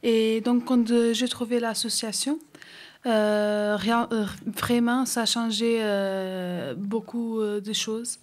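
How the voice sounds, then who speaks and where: A woman's voice: fairly high pitch, fairly even intonation. A woman from Mexico with a Mexican accent, a native Spanish speaker giving personal testimony and hesitantly searching for words. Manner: hesitant, halting, searching for words in a second language. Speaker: association member giving testimony.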